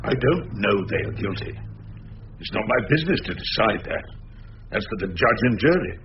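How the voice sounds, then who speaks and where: Lively, stubborn old English barrister. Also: male voice, on the low side, moderate pace, lo-fi sound, slight background noise. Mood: wry, firm. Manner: wry, emphatic, orator-like. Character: principled, stubborn old criminal defence barrister. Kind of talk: radio drama acting.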